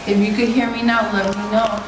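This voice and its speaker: A woman's voice: medium pitch, level tone, a little fast, recorded from a distance, music in the background. A woman from New York City, a ceramic artist and art teacher, chatting casually on a livestream and often checking that listeners can hear her. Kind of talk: casual livestream show-and-tell. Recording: echoey livestream audio from afar with noise.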